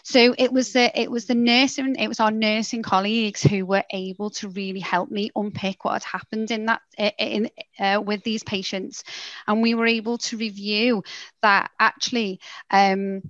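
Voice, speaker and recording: A woman's voice: mid-range voice, fairly even intonation, normal speed, a bit bright, no echo. Hesitant woman on a headset call. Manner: hesitant, many restarts and fillers. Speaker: panelist, senior nurse in digital health. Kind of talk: conference panel presentation. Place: home room, indoors. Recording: dry, dull lo-fi video call audio.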